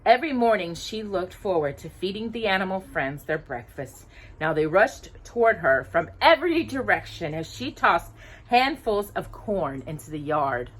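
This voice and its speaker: Animated woman reading a children's storybook. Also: fairly low pitch, normal speed. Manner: animated, asks listeners questions. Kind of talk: expressive read-aloud of a children's storybook.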